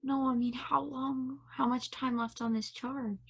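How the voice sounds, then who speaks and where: A woman acts a curious young space probe in a stage play reading. The voice is fairly high and husky. The manner is earnest, quiet and hesitant. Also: flat delivery, measured pace, exaggerated voice. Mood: anxious, insistent. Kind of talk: stage play table read.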